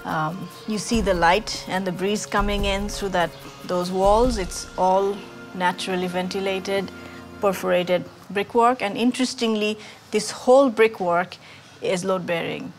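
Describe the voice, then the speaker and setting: A woman architect in her forties, speaking thoughtfully and measured in an interview, with pauses and fillers. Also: medium pitch, fairly even intonation. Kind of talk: interview about her work. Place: indoors in a brick building. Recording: music bed underneath.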